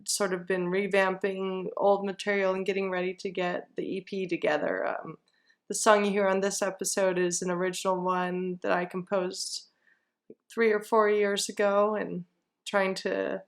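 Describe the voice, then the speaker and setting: A woman with a medium-pitched voice talks as a musician guest on a podcast. Her delivery is casual and conversational. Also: little pitch movement, normal speed. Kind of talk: casual interview chat.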